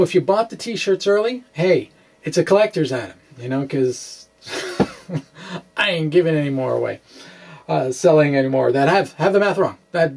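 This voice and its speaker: Halting middle-aged man explaining physics. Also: a little high, gravelly, a little mellow. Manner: halting, hesitant, self-correcting. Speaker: physics blogger and vlogger. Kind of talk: blog read aloud with rambling asides. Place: small indoor room with bookshelves.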